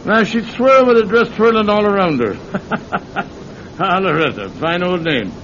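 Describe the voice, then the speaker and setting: A man's voice: animated, unhurried, a bit bright. A man acting in an old-time radio drama as a sly thief posing as a folksy, fatherly priest, with hesitant, chuckling delivery. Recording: muffled, noisy old radio recording with rumble.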